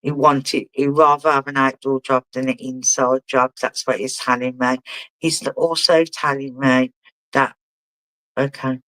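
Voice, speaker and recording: Halting man giving a medium reading. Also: mid-range voice, flat delivery, pushed and bright, no room sound, poor audio. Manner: halting, relays messages and keeps asking the sitter to confirm. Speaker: spiritual medium.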